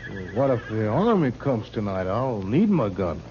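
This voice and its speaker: An actor playing a belligerent, whining western town drunk, swaggering and grumbling in 1950s radio style. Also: male voice, fairly low pitch, very animated, normal speed, mellow. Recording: muffled old radio recording, noisy.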